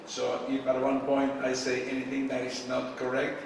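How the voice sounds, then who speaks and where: A man's voice: medium pitch, completely flat delivery. A man presenting to a crowd at an event, heard from a distance in a big hall with a long, roomy reverb. He talks casually, stopping often on "uh" and asking the audience questions as he goes. Faint room noise sits under his voice. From Argentina. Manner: casual, frequent filler pauses, asks the audience questions. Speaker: conference speaker. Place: event space with a live audience. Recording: echoey hall, distant mic.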